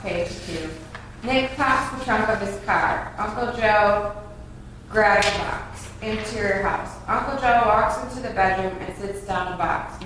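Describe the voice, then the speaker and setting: A woman's voice: medium pitch, fairly bright tone. A woman reading stage directions as scripted narration at a table read. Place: reverberant room, far from mic. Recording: echoey room, distant mic, faint noise.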